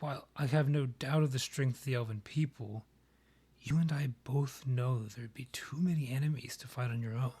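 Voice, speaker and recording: A man acts out a proud vampire king in a fantasy audio roleplay. The voice is breathy and husky at a middling pitch. The phrasing is formal and measured, with a courtly, theatrical polish. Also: warm tone, close to an everyday voice. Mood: measured, advisory. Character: proud, courtly male vampire monarch, rival and ex. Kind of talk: scripted roleplay audio, ASMR.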